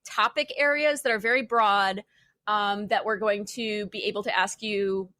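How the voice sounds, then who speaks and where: A young adult woman chatting casually as a podcast panelist, telling things in an informal, wry and animated way. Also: medium pitch, moderate pace, no room sound. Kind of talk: casual chat.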